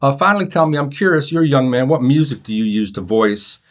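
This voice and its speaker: A man's voice: medium pitch, expressive, fast talker. A man working as an interviewer, asking casual questions in an informal, slightly teasing way. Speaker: interviewer, audio reviewer. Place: demo room at an audio show.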